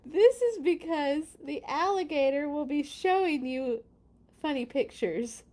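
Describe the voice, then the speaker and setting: Animated high-voiced woman reading a storybook. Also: measured pace. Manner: playful, expressive, exclaims and comments while reading. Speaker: children's storybook reader. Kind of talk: animated read-aloud with casual chatty asides.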